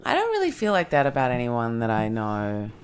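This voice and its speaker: Woman podcaster w a low husky voice, swings her pitch around a lot, frank and chatty, recording at a comedy club. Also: fairly quick. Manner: frank, animated, laughs easily. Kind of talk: casual podcast chat.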